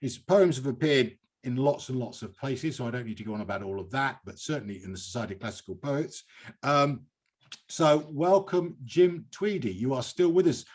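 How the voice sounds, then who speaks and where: A man's voice: mid-range voice, breathy edge. A British man hosting a poetry reading in an effusive, digressive, conversational way. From United Kingdom. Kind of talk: live event hosting, conversational interviewing.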